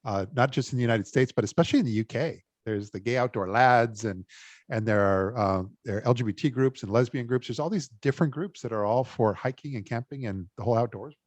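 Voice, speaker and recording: A man hosting a casual interview, halting often with fillers and restarts as he talks over a video call. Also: medium pitch, darkish tone, dry recording. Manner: hesitant, many fillers and restarts. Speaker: interview host.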